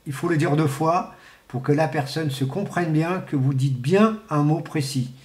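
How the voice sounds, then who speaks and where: A middle-aged man giving an informal, unscripted explanation in a halting, colloquial way, repeating himself for emphasis, as an amateur radio hobbyist. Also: on the high side, a little mellow. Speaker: amateur CB radio enthusiast presenting. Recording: echoey, roomy sound.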